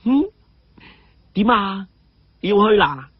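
A man voicing a cheeky, gleeful young martial hero in a story, with mocking chuckles and drawn-out exclamations. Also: high-pitched, very animated, husky, no room sound, muffled recording, voice pushed up, exaggerated voice. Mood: teasing. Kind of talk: Cantonese storybook character acting.

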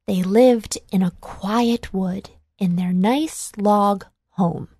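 A woman narrating a children's storybook in a measured, clearly enunciated read-along delivery. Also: mid-range voice, a little mellow, dry recording.

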